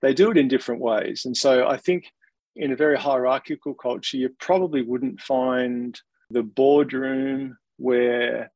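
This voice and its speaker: Gravelly, husky Australian man. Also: medium pitch, steady tone, darkish tone, no echo. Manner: thoughtful, measured, with small restarts. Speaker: interview guest, organisational leader. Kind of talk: reflective interview answers.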